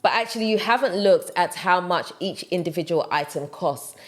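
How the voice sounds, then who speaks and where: Woman, low-pitched, emphatic, direct, casual advice to camera. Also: lively intonation, moderate pace. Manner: direct, emphatic, repeats points for stress. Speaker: vlogger giving advice.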